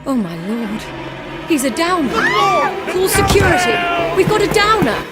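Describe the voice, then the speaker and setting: A woman's voice: very high-pitched, big pitch swings, moderate pace, loud music behind the voice. An actress playing an alarmed, accusing woman in a video game. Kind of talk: video game character acting.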